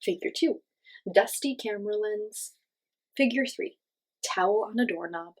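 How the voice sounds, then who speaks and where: A woman with a medium-pitched voice that has a little huskiness to it reads aloud for young children. She stresses words expressively and talks straight to her listeners, in the simple, explanatory way of a teacher hosting storytime. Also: a little slow, husky, low-quality recording.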